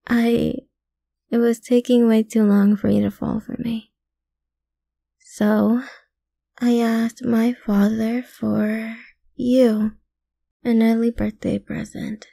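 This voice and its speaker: Slow, dark, hushed yandere mafia princess, acted by a woman. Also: a little high, no echo, exaggerated voice. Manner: soft, breathy, slow, often dropping into whispers. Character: possessive, sweetly menacing lovesick daughter of a mafia boss. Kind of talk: ASMR roleplay. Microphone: close mic, dry.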